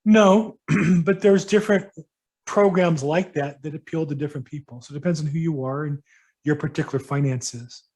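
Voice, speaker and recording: A middle-aged man with a mellow, somewhat high voice answers questions from a workshop audience. He speaks casually and haltingly, with frequent ums and restarts. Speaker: real estate broker hosting a workshop. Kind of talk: casual advice to attendees.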